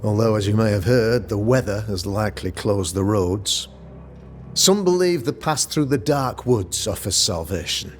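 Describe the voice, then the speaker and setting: A pompous, low-voiced colonial governor. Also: male voice, animated. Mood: earnest, grave. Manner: formal, measured, grandiloquent archaic phrasing. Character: pompous colonial town governor, older man, solemn and self-regarding. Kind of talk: dramatic video game acting. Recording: music under the voice.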